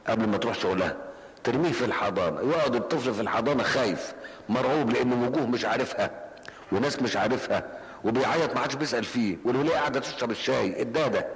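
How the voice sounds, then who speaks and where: A man's voice: medium pitch, lively intonation, fast talker, in a large hall, rough recording. An older man with an Egyptian Arabic accent, giving a colloquial, story-driven lesson in a mosque and repeating phrases for emphasis. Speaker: preacher. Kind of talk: mosque lesson answering questions. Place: mosque hall.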